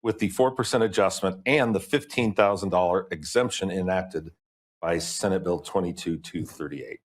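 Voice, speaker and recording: A staff member, a man, reads an online question aloud at a public meeting. His delivery is plain and matter-of-fact, and his voice is fairly low. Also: normal speed.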